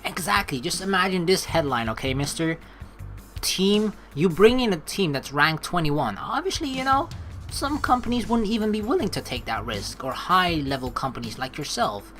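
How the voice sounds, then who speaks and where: A woman's voice: fairly low pitch, big pitch swings, normal speed, a bit bright. A woman doing casual let's play commentary, dramatic and sweary, reading game text aloud and improvising replies. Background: game music.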